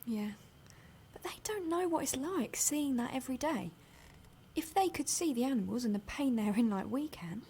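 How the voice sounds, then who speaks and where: A woman playing a soft, earnest protester in an audio drama, breathy and tentative. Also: high-pitched, animated, normal speed, full character voice. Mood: upset.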